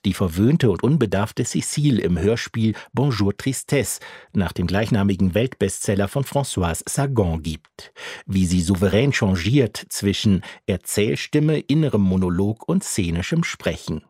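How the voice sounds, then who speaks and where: A man's voice: fairly low pitch, mellow, breathy edge, no echo, studio quality. A man working as a radio critic, reading a scripted review.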